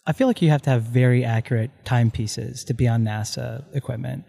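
A man's voice: mid-range voice, a little fast, gravelly, dark tone. A man co-hosting a casual podcast, adding dry, joking asides. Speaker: podcast co-host. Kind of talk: casual chat. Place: distillery building interior.

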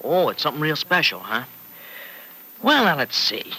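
An actor playing a fretful, protective, sardonic uncle in a folksy, hesitant way, in old-time radio serial style. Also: male voice, fairly high pitch, sing-song, moderate pace, sharp tone, slightly rough, heavily acted. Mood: folksy, bemused. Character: Uncle Freddy, gruff-hearted fretful family man, protective and sardonic. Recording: noisy vintage radio recording.

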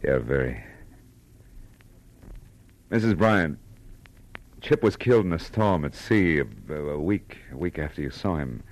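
An actor playing a tough but compassionate private detective, terse and weary, in 1940s radio noir. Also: male voice, very deep voice, lively intonation, unhurried, long pauses, rough recording. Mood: gentle, hesitant. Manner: terse, weary, hesitant when gentle.

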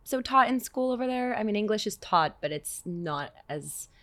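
A young woman from Canada, a singer guest, talking in a relaxed, reflective way and laughing easily. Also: medium pitch, normal speed. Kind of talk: casual interview chat.

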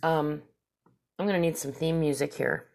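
A woman's voice: deep voice, steady tone, normal speed, creaky, a little mellow. A woman hosting a talk show, whose stuffy, nasal voice rambles casually through stories and asides. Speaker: podcast host. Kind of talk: casual storytelling monologue.

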